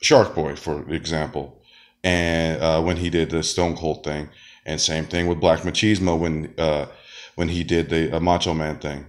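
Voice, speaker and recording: Sick man with a very deep voice. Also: flat delivery, measured pace. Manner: rambling, many fillers and restarts. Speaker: wrestler and comedian. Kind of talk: casual monologue.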